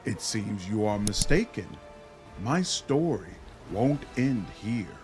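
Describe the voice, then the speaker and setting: A man puts on an old blind elf who tells stories in a fantasy game. He speaks slowly, with a calm and unafraid manner. Faint music plays behind him. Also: on the low side. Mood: serene.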